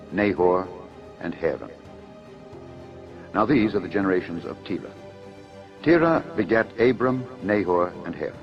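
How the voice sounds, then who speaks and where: Low male voice reading old bible text, formal and measured, kinda muffled with music under it. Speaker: narrator of a dramatised audio Bible. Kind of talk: solemn scripted scripture reading. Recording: dull lo-fi audio under music.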